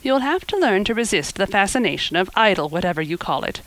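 A woman's voice: medium pitch, very animated, fast talker, a bit bright, dry recording, poor audio. Woman narrator as a stern older storybook woman, brusque, practical, scolding, matter-of-fact. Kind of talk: audiobook character acting.

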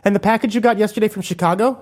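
Male narrator doing a sharp-tongued young woman, high-pitched, furious and defiant. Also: racing, close to an everyday voice. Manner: shouting, swearing, biting.

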